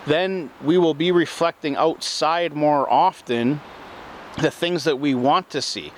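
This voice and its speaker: Earnest man, faint street noise behind. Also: mid-range voice. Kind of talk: spoken self-help talk.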